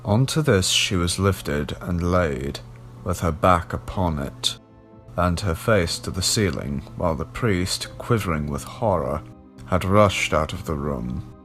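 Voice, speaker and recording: A man reading a gothic horror story as a scripted narration, in a measured storytelling manner. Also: sits low, lively intonation, a bit bright, soft background music.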